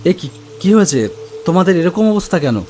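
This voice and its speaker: An anxious young nephew, acted by a man in a horror cartoon. He asks questions in a startled, halting way, his voice pushed higher over a music bed. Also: big pitch swings, a little mellow. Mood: puzzled, earnest. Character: anxious, bewildered young nephew visiting his uncle's house. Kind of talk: cartoon horror story dialogue.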